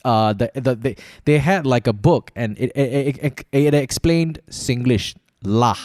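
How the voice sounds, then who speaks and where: Male podcast host, Malaysian accent, mid-pitched, rambling conversational delivery with stammered repeats, close dry studio mic. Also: unhurried, a little mellow, dry recording. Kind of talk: casual chat, interviewing. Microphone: close podcast microphone.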